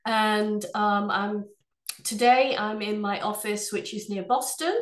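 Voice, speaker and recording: English woman giving a polished sales pitch. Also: steady tone, takes it slowly, echoey room. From England. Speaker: head of sales presenting a webinar. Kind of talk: scripted product presentation. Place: her office.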